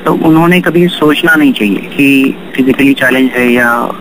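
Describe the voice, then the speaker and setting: A man's voice: mid-range voice, moderate pace, noisy background. A man giving explanatory interview answers, mixing English technical terms into his Hindi. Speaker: interview guest, accessibility researcher.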